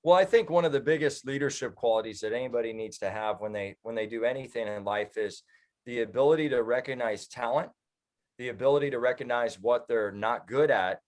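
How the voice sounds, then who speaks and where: A man with an American accent giving conversational political commentary as an interview guest, in an earnest, speechifying manner. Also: mid-range voice. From United States. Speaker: political activist and professional speaker, interview guest.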